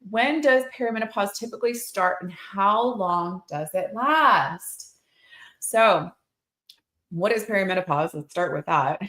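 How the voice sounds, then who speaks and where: American woman in her forties, echoey room. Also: mid-range voice. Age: 40s. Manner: chatty, informal, expressive, addressing viewers directly. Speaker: nurse practitioner hosting a livestream Q&A. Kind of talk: casual livestream Q&A chat. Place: indoor clinic room under construction. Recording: echoey, roomy livestream sound.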